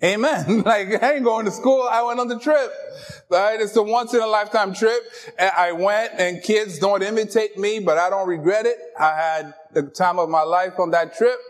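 Pastor, man, French first language, high voice, joking and lively with the congregation. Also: normal speed. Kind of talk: sermon.

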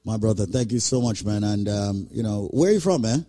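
A man hosting a radio show and pitching a product, brisk, emphatic and repetitive. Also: lively intonation, mellow. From Jamaica. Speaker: radio host and company chairman.

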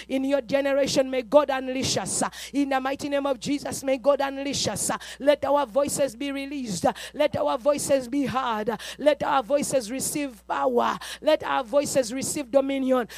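A man's voice: extremely high pitch, no echo. A man leading a fervent chanted prayer with rhythmic, repetitive declarations full of "uh", breaking into tongues between them. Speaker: preacher.

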